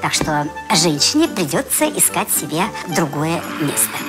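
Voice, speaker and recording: A lowish, expressive woman's voice for a prim cartoon hotel administrator. She is officious and sarcastic, delivering rules with bureaucratic precision over background music. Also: a little slow. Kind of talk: animated comedy acting.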